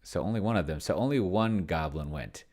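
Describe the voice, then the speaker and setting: A man hosting a casual solo gaming session, thinking aloud and muttering to himself, sometimes slowing to dictate as he types. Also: sits low, darkish tone. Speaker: solo tabletop gamer and video host. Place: indoors at a computer, keyboard clicks behind.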